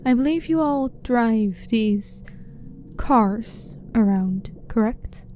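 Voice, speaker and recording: Mellow, blunt alien scientist voiced by a woman. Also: fairly high pitch, animated, slow, slight background noise. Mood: matter-of-fact. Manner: blunt, clinical, matter-of-fact, slightly formal. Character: blunt, curious female alien scientist, calm and not hostile. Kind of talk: ASMR roleplay, soft-spoken monologue to the listener.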